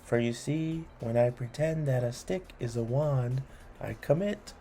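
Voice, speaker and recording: An imaginative, indignant child wizard from a storybook rhyme, as read by a man. The delivery is dramatic and self-assured, emphatic and unhurried, in a mellow tone. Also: medium pitch, a little hiss or hum, close to an everyday voice. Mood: grandly vengeful.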